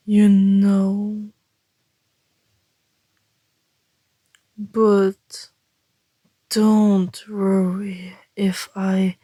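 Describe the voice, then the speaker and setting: A woman playing an ancient, teasing vampire in an intimate ASMR roleplay, breathy and often whispering, calm and deliberate. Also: medium pitch, dry recording. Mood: quietly menacing. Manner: slow, deliberate, teasing, with long pauses.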